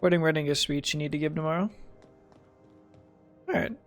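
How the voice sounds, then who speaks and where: A man's voice: fairly high pitch, a little fast, fairly bright tone, soft background music. A man doing casual gameplay commentary as a streamer, swearing and muttering to himself in short fragments. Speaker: video game streamer.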